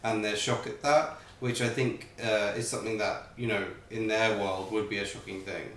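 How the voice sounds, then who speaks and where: A man co-hosting a reaction show, speaking hesitantly and in measured terms about an episode. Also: fairly low pitch, steady tone, a bit bright. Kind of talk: casual chat reviewing an episode. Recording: roomy sound, distant mic, faint noise.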